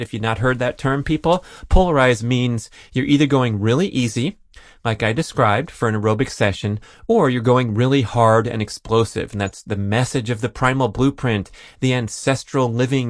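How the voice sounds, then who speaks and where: A man's voice: mid-range voice, no echo, studio quality. A man hosting a solo listener Q&A podcast, rambling and jokey with self-deprecating asides. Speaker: podcast host.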